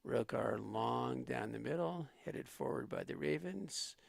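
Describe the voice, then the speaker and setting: A man calling a live school soccer match play by play, steady and running. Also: medium pitch, measured pace, gravelly, no echo, studio quality. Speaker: play-by-play commentator.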